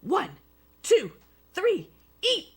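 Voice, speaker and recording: A woman voicing a stern male contest judge who announces loudly and exclaims. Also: on the high side, huge pitch swings, takes it slowly, husky, heavily acted. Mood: announcing.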